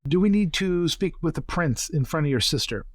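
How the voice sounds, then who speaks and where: A man's voice: fairly high pitch, expressive, brisk, no echo, clean studio sound. A man voicing a prim, polite planner with a formal, precise way of talking in an improvised tabletop roleplay.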